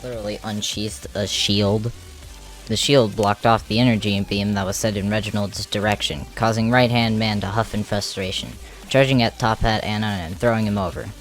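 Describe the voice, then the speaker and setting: An expressive storyteller narrating scripted action for an amateur comic dub. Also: medium pitch, moderate pace. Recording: music under the voice.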